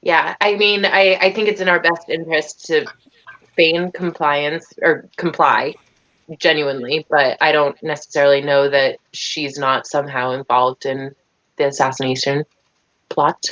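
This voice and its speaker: A woman playing a tabletop game, discussing things casually in a measured, thoughtful manner. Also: deep voice, steady tone, a bit bright, highs cut off.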